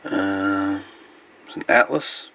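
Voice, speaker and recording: A man with a creaky, gravelly voice gives casual, halting hands-on repair commentary, muttering to himself. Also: low-pitched, pushed and bright. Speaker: host of a radio repair video.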